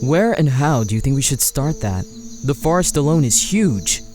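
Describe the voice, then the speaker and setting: A man playing a cautious, practical husband and father in a fantasy audio drama, measured and questioning. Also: mid-range voice, sing-song, over music, close to an everyday voice.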